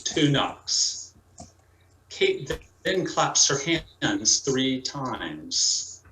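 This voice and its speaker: A man giving scripted dramatic narration in a deliberate, theatrical manner. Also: mid-range voice, sing-song. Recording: echoey room, faint noise.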